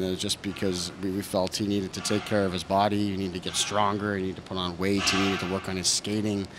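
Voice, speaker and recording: A low-voiced man in his thirties speaks as a hockey coach at a press conference, his pitch staying flat and even. He answers in a measured, thoughtful way, full of fillers and restarts. Reporters' mics sit close in front of him, with a steady noise floor behind. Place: press room in front of a sponsor backdrop. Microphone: several reporters' handheld mics close in front.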